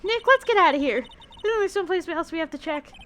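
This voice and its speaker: A woman voicing an excitable young female sidekick from a video game, bubbly and quick to get indignant. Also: extremely high pitch, slight background noise, pitched above the performer's own voice.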